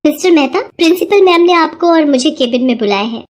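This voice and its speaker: A woman's voice: high voice, lively intonation, fairly quick, pitched above the performer's own voice. A woman voicing an anxious young female teacher urging a colleague in a cartoon story. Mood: urgent. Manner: urgent.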